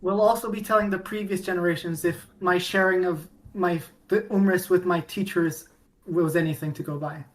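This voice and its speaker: A woman's voice: fairly low pitch, steady tone, normal speed, low-quality recording. A woman guest on a podcast, chatting playfully and with enthusiasm about her fan game. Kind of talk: casual podcast chat.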